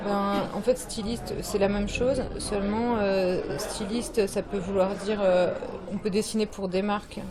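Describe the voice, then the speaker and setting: A woman's voice: mid-range voice, fairly even intonation, measured pace, few pauses. Hesitant French woman designer, crowd behind. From France, Brittany. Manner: hesitant, many fillers and restarts. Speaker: guest fashion designer. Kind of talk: casual interview talk. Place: bar evening event with crowd.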